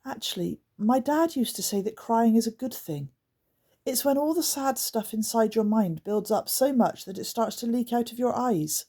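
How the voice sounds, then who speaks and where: A young girl stands her ground against creatures, as a woman reading a storybook puts her on. Her voice rises well above the narrator's, husky and bright with lively, swooping inflection. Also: on the high side, moderate pace, pitched above the performer's own voice. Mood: earnest. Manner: sobbing then stubborn. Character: upset, determined young girl.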